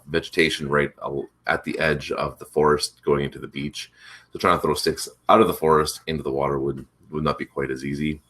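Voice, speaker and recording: A man hosting a casual morning chat, rambling with many fillers, his voice congested and a bit rough from allergies. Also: very deep voice, fairly bright tone. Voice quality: congested, slightly rough throat. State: stuffed up from allergies. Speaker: vlog host.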